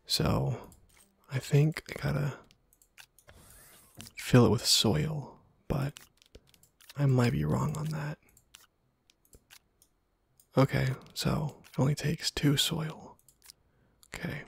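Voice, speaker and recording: A man softly murmuring and whispering ASMR gameplay commentary, musing to himself in a hushed, breathy way close to the microphone. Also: on the high side, steady tone, slow, pauses often, no room sound. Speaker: gaming video host.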